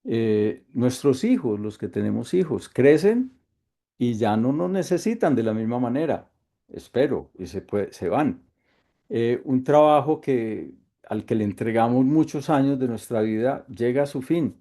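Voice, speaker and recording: Gravelly-voiced Colombian man, calm and reflective. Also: medium pitch, a little slow. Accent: Colombian Spanish. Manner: calm, thoughtful, with frequent hesitations. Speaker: workshop facilitator. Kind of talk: reflective online workshop talk. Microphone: video call.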